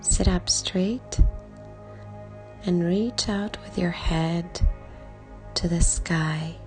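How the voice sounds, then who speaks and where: A woman leading a guided body scan meditation in a calm, soothing way, speaking in short soft phrases with long pauses and a breathy edge. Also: fairly low pitch. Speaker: meditation guide, life coach. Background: background music.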